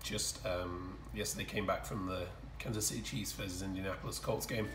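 A hoarse, croaky man hosting his card-opening channel in casual chat, often clearing his throat and pausing with fillers. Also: low voice, steady tone, roomy sound, recorded from a distance, background noise. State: croaky, hoarse from screaming at a game. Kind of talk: casual unboxing commentary. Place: indoor room with collectibles on shelves.

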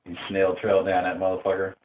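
A man's voice: low-pitched, completely flat delivery, a little fast. A man making a casual prank phone call, talking in a crude, goading way. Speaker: prank caller. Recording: roomy, noisy tinny phone recording.

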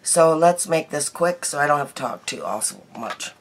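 Hoarse middle-aged woman chatting about makeup. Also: low voice, animated, moderate pace. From United States. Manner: chatty, homey, laughs easily, trails off. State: hoarse, losing her voice from a cold. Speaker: beauty vlogger. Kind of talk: casual daily makeup chat to camera. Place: small bedroom indoors.

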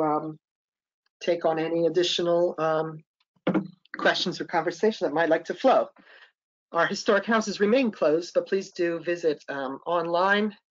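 A man's voice: on the high side, lo-fi sound. A man, a historic site interpreter, presenting and answering questions on a webinar, hesitant, with many ums and uhs.

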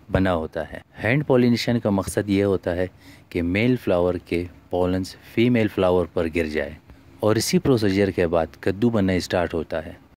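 A man's voice: low-pitched, darkish tone. A man, narrating a home gardening vlog as its host in a scripted voice-over.

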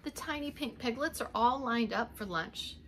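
A woman's voice: on the high side, moderate pace, noisy background. A middle-aged woman reading a picture book aloud as a preschool teacher, expressively, with little asides to young children. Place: indoors, home living room.

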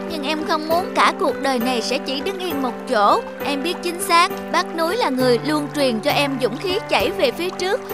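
A woman voicing an earnest, emotional young stream spirit who yearns and pleads, in a children's cartoon fable. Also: sits high.

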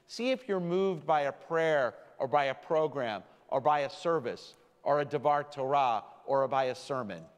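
A man giving a sermon as rabbi to his congregation, speaking with slow, deliberate emphasis. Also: medium pitch. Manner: warm, deliberate preaching with slowed emphasis. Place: large synagogue sanctuary. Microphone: stand mic from a far camera. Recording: long hall reverb.

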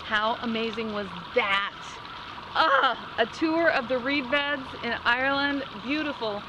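A woman's voice: a little high, a little slow. Enthusiastic woman vlogging outdoors. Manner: enthusiastic, exclamatory. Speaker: vlog host. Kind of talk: upbeat garden vlog to camera. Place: outdoor garden beside a house. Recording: outdoor camera audio with clear background noise.

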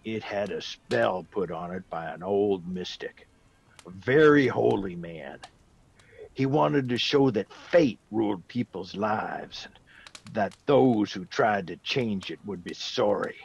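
An actor playing a gruff old soldier back from India, a rambling, emphatic storyteller fond of whiskey, in a radio drama. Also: male voice, on the low side, takes it slowly, muffled recording, heavily acted. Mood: grave, ominous.

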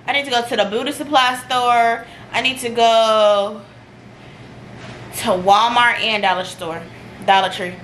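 A woman of about twenty with a fairly high voice talks casually to her viewers. She is slangy and a bit dramatic as she vents. The camera audio carries clear background noise. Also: measured pace. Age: around 20. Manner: animated, slangy, dramatic complaining. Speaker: vlogger. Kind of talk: casual chatty vlog to camera. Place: at home, then inside a car. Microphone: camera at arm's length or propped nearby. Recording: noisy camera audio with car hum.

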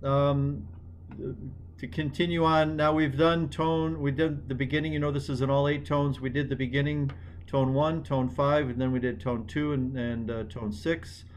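A man's voice: medium pitch, level tone, normal speed, slight background noise. A man hosting an online music class session, talking with hesitant ums and restarts.

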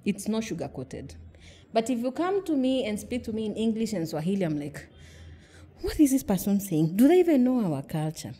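A woman's voice: medium pitch, very animated, normal speed, mellow. A middle-aged woman from Kenya, a podcast guest, explaining earnestly with examples and rhetorical questions. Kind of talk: conversational interview.

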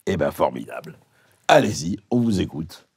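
A man's voice: low-pitched, breathy edge, dry recording. An older man hosting a talk show in a dim lounge set, chatting animatedly with many restarts. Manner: animated, gesturing, halting restarts. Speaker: host. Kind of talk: casual talk show chat. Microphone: vintage stand mic.

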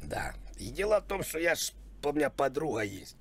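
A man voicing a crude, rambling older customer on a prank phone call, husky, repetitive and mock-apologetic. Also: a little high, sing-song, fairly quick, a bit bright, heavily acted. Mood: earnest, rambling. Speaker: prank caller.

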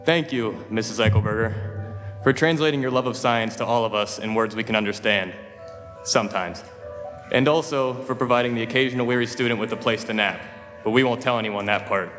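A man's voice: fairly low pitch, a little fast. A teenage boy giving a humorous graduation speech at a podium, with a dry, joking, lightly self-mocking delivery. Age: teens. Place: large school auditorium stage. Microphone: podium microphone, filmed from far. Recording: reverberant hall with soft music.

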